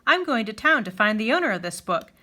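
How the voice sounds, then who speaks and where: Storybook grizzly bear, played by a woman: sweet-natured, curious, courteous, lively high-pitched delivery. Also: quick pace, full character voice. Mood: wondering, hopeful. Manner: earnest, polite, wondering. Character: gentle, curious, polite young male grizzly bear.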